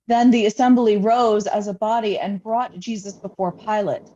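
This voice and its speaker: Mellow woman reading scripture, muffled recording. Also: mid-range voice, fairly even intonation, normal speed. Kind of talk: dramatic scripture reading for worship. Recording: dull, lo-fi sound.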